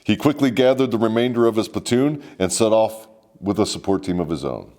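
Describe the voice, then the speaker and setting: A man narrates military history as a comedic bedtime story. His voice sits fairly low and swings widely in pitch, with a mock-formal, sardonic delivery peppered with salty slang. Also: expressive, a little fast, a little mellow. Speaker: host and storyteller.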